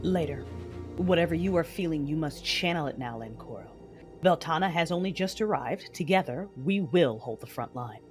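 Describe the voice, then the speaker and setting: An actress playing a cruel, cold cyborg warrior mother in an audio drama, measured, menacing and commanding. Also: low-pitched, lively intonation, darkish tone. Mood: grave. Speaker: narrator of own story in audio drama. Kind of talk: dramatic first-person narration and acting. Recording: music bed behind voice.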